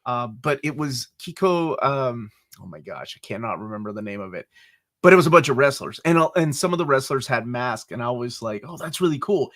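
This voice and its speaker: A man in his forties from Peru hosting a casual interview, excitable and joking, often jumping up in pitch when animated. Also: a little fast. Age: 40s. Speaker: podcast host.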